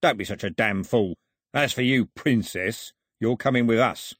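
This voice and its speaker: Audiobook villain, man performing: menacing armed bully, husky growl, mocking and coarse, very close dry sound. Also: mid-range voice, moderate pace, no echo, natural voice. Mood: sneering. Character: gruff, menacing male gunman, short-tempered villain and bully.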